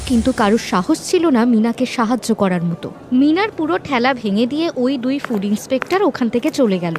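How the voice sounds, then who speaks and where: A woman's voice: high-pitched, darkish tone, slight background noise. A woman narrator reading a scripted cartoon story.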